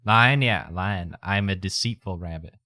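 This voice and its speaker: A man's voice: deep voice, a little slow, pushed and bright, no echo. A man chatting casually on a livestream in a put-on accent, with a folksy, playful manner. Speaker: streamer, VTuber.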